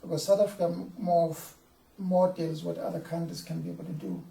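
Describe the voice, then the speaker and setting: A man's voice: a little high, fairly even intonation, a little fast, vocal fry, warm tone, echoey room, far from the mic, poor audio. A man interviewing a guest in a studio for a TV program, talking hesitantly with fillers and restarts.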